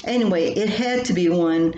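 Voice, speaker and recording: A woman's voice: on the low side, normal speed, warm tone, muffled recording. An older woman reading her own humorous personal column aloud, with a wry, dramatic storytelling manner. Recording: roomy echo with clear background noise.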